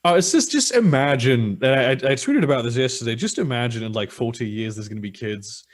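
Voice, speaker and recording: A man's voice: medium pitch, animated, quick pace. A German man with an English-sounding accent, a guest chatting casually and wryly on a live stream. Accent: English-sounding, German-born. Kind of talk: casual online conversation.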